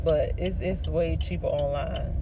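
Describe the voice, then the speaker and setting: A woman's voice: steady tone, a little slow. A woman, chatting and rambling to her viewers while she drives. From United States. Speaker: vlogger. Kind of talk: casual chat while driving. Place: inside a car. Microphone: phone on the dashboard. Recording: distant phone mic with loud car rumble.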